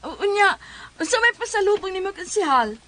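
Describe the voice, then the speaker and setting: A woman's voice: very high-pitched, sing-song, a little fast, fairly bright tone, exaggerated voice. A woman playing an eager bride-to-be neighbor with a Cebuano accent in a comedic radio drama. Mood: surprised, coaxing. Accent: Cebuano Filipino. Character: eager young bride-to-be neighbor seeking favors.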